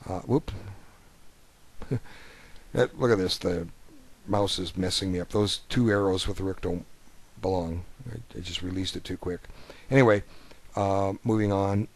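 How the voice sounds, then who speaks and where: A man with a fairly low voice talks through a chess game as a coach. His commentary is informal and rambling, in a folksy, conversational manner. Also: a little hiss or hum. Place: voice-over of an on-screen chess board.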